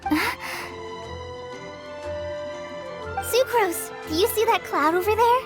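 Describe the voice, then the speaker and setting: A very high, girlish voice for a gentle young heroine in a fantasy game. She is sincere and earnest, speaking heartfelt scripted lines over faint music. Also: moderate pace. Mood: curious.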